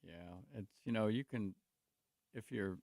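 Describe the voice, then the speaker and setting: Gravelly older man, low voice. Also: a little slow, a little mellow, dry recording. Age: 60s. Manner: rambling storytelling with many restarts and fillers; speaks openly about faith. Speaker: guest, construction company owner. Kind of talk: casual talk show conversation.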